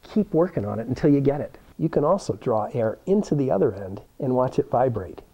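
A man's voice: medium pitch, big pitch swings, fairly quick, mellow, husky, muffled recording. A man, giving a plain-spoken, encouraging how-to demonstration to camera as an instructor. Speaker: science toy-making instructor. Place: indoor workroom with cabinets.

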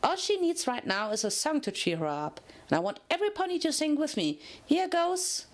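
A woman voicing a cheerful, carefree young female pony in a story reading, chirpy and excitable. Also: sits high, a little fast, pitched above the performer's own voice. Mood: bubbly. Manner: sing-song, chirpy, excitable.

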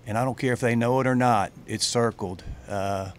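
A middle-aged football coach talks through a postgame press conference, stopping and restarting his sentences around plenty of fillers. His voice sits at an ordinary pitch and comes through a table mic in a press room, with a faint hiss underneath. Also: steady tone. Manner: plain-spoken, halting with many fillers and restarts. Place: press room with branded backdrop. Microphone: table mic on a stand.

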